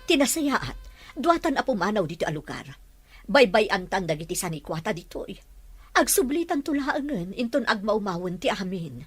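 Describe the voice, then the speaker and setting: A woman's voice: medium pitch, very animated, normal speed, husky, soft background music. An actress playing a worried, protective middle-aged mother in an Ilocano radio drama. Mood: fearful, resigned. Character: anxious, protective mother of a grown son.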